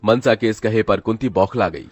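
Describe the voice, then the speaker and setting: A man's voice: lowish voice, normal speed, darkish tone, no echo. A man narrating a scripted dramatic story as its storyteller.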